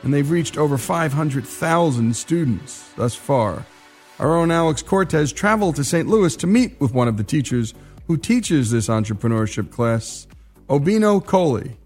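A man hosting the show, reading a scripted radio introduction in a smooth, upbeat announcing manner. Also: a little high, lively intonation, moderate pace, darkish tone, faint music behind. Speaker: host.

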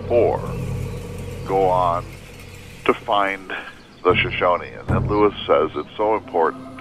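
A man speaking as a history expert, telling a story in deliberate phrases broken by pauses. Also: low voice, noisy background. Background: music.

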